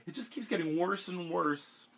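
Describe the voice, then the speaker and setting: A man voicing a worried, distraught mother in a high, wailing voice. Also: moderate pace, vocal fry, fairly bright tone, husky, exaggerated voice.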